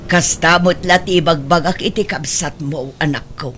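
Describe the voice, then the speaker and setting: An older mother , acted by a woman, with a fairly low, anxious and caring voice. She speaks in an Ilocano-accented audio drama with faint noise on the recording. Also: moderate pace, a bit bright. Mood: worried. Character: worried older mother of grown daughters.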